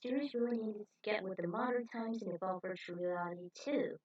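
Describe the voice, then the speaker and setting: A woman's voice: medium pitch, brisk, darkish tone, dull audio. A woman reading her own fan fiction story aloud as its author and narrator.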